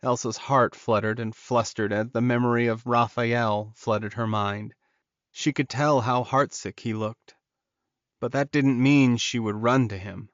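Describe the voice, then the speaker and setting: A man narrates a fantasy romance audiobook at a medium pitch. The recording is dry and close, with a slightly dull top end. He reads scripted prose as a storyteller. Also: steady tone, unhurried, no room sound.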